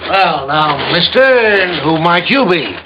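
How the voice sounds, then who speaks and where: Folksy American radio cop, muffled recording. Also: male voice, a little high, big pitch swings, slow, noisy background. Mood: brisk, surprised. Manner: colloquial, emphatic, rambling storytelling. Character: folksy, talkative small-town male police sergeant. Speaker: supporting character actor. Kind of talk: classic American radio drama acting.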